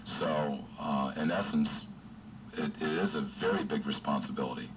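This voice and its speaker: A man's voice: rumbling low, moderate pace. A man giving a hesitant interview answer, repeating words, in a distant, noisy radio soundbite. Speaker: former lifeguard interviewee.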